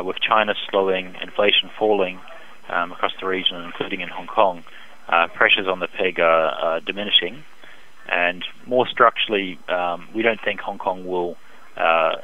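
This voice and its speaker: Low-pitched man on a dull phone line. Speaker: economist and currency strategist interviewed as expert. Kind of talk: phone interview, analytical market commentary. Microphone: telephone.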